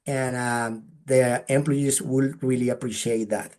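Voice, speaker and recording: A man's voice: medium pitch, fairly even intonation, a little slow, a little mellow. Colombian-accented man giving practical advice. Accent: Colombian Spanish. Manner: earnest, advice-giving, frequent "right?" tags. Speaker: guest speaker. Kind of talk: webinar presentation.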